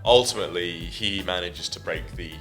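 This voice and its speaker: A man's voice: low voice, moderate pace, fairly bright tone, faint music behind. A man co-hosting a casual podcast, retelling things in a digressive, hesitant way. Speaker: podcast co-host. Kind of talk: casual chat, retelling at length.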